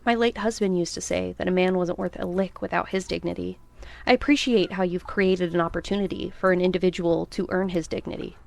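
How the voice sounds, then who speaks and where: A woman's voice: on the low side, fairly even intonation, a little fast, gravelly. A woman playing a poised, genteel wealthy widow in an audio drama, formal and gracious with folksy turns of phrase.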